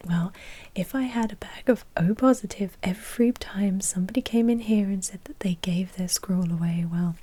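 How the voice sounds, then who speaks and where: A woman playing a chatty, politely officious vampire bank manager in a whispered, breathy ASMR roleplay. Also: mid-range voice, normal speed, warm tone, lightly acted. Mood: sceptical, officious.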